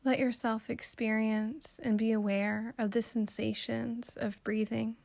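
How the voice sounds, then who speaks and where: A woman guiding a meditation in a calm, soothing, gently instructive way, with soft phrases that trail toward a whisper. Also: a little high, measured pace, gravelly, no echo. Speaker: meditation guide. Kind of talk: slow guided meditation narration.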